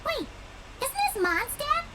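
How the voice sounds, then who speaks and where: A woman voicing a small, excitable, childlike fairy companion in a video game, with a squeaky voice and exclamatory manner. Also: a little slow, very noisy, full character voice. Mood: curious, puzzled. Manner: exclamatory, animated. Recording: music behind the voice.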